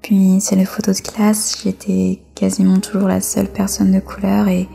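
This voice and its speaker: Creaky-voiced woman, reflective interview guest. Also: medium pitch, completely flat delivery, moderate pace, warm tone, faint music behind. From France. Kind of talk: personal testimony in an interview.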